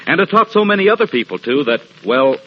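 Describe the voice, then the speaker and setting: A man delivering a folksy, emphatic advertising pitch as a 1940s radio commercial announcer. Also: sing-song, fast talker. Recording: muffled vintage radio with faint noise.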